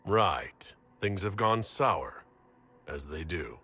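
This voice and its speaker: Husky, composed heroic leader. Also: male voice, sits low, very animated, slow, sharp tone, faint music behind, heavily acted. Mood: calm, wry. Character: composed heroic male leader, calm and dry. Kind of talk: video game character acting.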